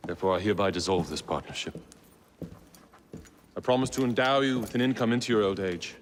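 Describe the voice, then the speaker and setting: An actor playing a grand, cold Victorian Templar master in a video game, formal, commanding and measured in his oratory. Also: lowish voice, close to an everyday voice. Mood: grave, imperious. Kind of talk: dramatic game cutscene acting. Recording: long hall reverb, faint noise.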